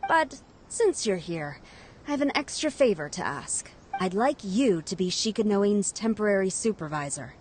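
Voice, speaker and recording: An actress playing a stern female police superior in a video game, composed and commanding, with formal, measured phrasing. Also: medium pitch, lively intonation, background noise, close to an everyday voice. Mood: formal, firm. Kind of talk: scripted game voice acting.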